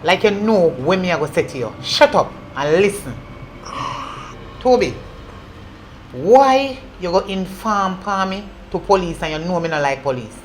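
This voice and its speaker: A woman's voice: on the low side, expressive, measured pace, slight background noise. A woman with a Jamaican accent playing a jealous, hot-tempered young woman who barks curt orders in patois. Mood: furious. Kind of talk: low-budget patois drama.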